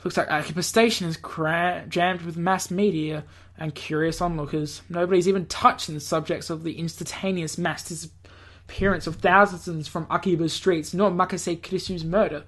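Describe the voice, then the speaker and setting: A man's voice: fairly high pitch, steady tone, normal speed, a bit bright. A man reading a visual novel aloud as a let's play host, stumbling now and then over words and names. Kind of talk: read-aloud narration with casual commentary.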